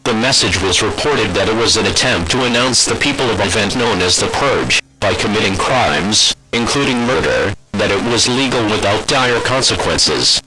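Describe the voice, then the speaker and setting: A male announcer with a lowish pitch reads out an emergency alert. The wording is scripted and formal, and he keeps an impersonal, official tone. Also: animated, normal speed, few pauses, music in the background, low-quality recording.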